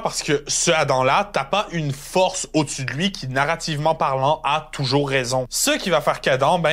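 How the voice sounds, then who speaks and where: Un homme qui livre un commentaire d'analyse sur un ton de conversation, familier et explicatif. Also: male voice, mid-range voice, a bit bright. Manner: colloquial, explanatory. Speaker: video essay host.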